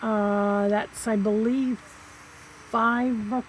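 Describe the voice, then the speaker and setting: A middle-aged woman casually listing items for sale on a live auction stream, slow and halting with many pauses and fillers. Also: on the high side, steady tone, slight background noise. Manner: slow, halting, lots of ums.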